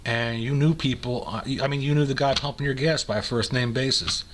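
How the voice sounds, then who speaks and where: An older man with an American accent and a husky voice, reading aloud and chatting in a rambling, nostalgic way. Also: mid-range voice, steady tone, brisk, fairly bright tone, a little hiss or hum. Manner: rambling, nostalgic, conversational asides. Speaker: amateur reader and host. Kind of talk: dramatic reading of old recipes with casual reminiscing.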